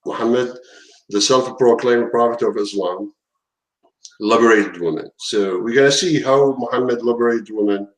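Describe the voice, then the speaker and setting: Man, talk show host, hesitant, lots of fillers, mid pitch, echoey room. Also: normal speed. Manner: hesitant, many fillers and restarts. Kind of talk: casual online talk show.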